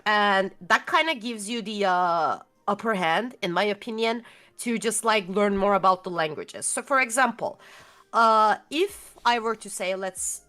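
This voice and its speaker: A woman's voice: mid-range voice, a little slow, bright, forceful tone. Turkish-accented young woman chatting loosely. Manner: rambling, searches for words with many fillers. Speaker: interview guest. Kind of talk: casual video-call chat.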